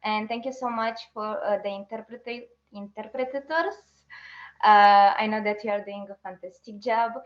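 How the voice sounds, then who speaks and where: A woman from Romania giving an online talk as an activist, pausing and restarting her sentences often. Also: mid-range voice, reverberant, dull audio. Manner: halting, with restarts and fillers. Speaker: activist speaker.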